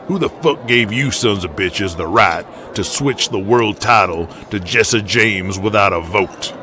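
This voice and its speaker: A man voicing a belligerent, crotchety old Southern wrestling promoter in an audio drama, gruff and folksy with his cursing. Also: fairly low pitch, pushed and bright, heavily acted.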